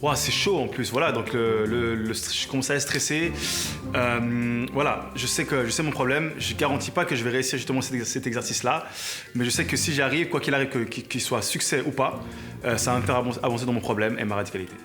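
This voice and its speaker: Young man with a southern French accent. Casual and quick, hesitant, with lots of restarts. Music underneath. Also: medium pitch. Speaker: reality show contestant. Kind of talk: casual chat and confessional. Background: background music.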